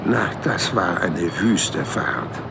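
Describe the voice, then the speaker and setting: A very old, easygoing adventurer telling his life story in an audio drama. The voice is low and gravelly, and it moves up and down animatedly as he wryly reminisces. Faint ambient noise sits behind him. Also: animated, full character voice. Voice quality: gravelly, creaky. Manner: wry, unhurried, colloquial storytelling. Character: easygoing hundred-year-old Swedish man reminiscing about his adventures. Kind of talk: first-person audio drama narration.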